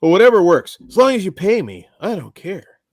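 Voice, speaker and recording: A man co-hosting a casual film review show, joking and animated, his voice a bit worn from a long day of recording. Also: high-pitched, a little fast, dry recording, clean studio sound. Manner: animated, self-deprecating, joking. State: voice going tired after long recording. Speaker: podcast co-host.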